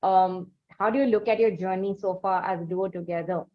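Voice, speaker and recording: A woman's voice: medium pitch, steady tone, fairly quick. A woman hosting an interview, asking long questions with many hesitant fillers. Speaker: interview host. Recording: dull lo-fi sound.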